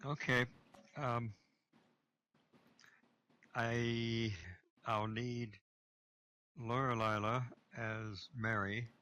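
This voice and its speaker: A man organizing a script reading, speaking hesitantly and pausing to find his place. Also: mid-range voice, little pitch movement, bright, forceful tone. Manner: hesitant, many fillers. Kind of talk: casual chat.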